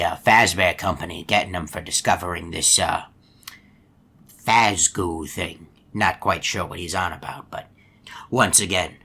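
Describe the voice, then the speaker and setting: A deep-voiced, folksy animatronic from a video game, with a crisp, bright edge. He spins easygoing anecdotes, rambling mildly and pausing hesitantly as he goes. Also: exaggerated voice.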